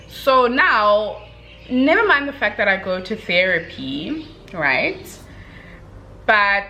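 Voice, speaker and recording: A woman giving a casual book review in an expressive, slangy way, talking to viewers like girlfriends with emphatic check-ins. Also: fairly high pitch, a little hiss or hum.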